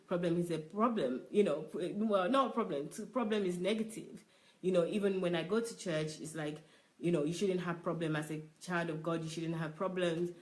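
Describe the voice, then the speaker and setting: Reflective discussion leader with a mid-range voice who thinks aloud. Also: mid-range voice. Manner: reflective, with frequent restarts and fillers. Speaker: book review discussion leader. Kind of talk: reflective personal sharing.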